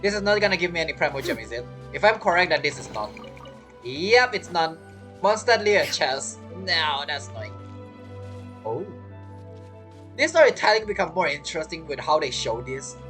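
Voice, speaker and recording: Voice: mid-range voice, big pitch swings, normal speed, a bit bright. A gamer giving casual gameplay commentary with informal, muttered asides. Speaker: gaming video creator. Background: game music.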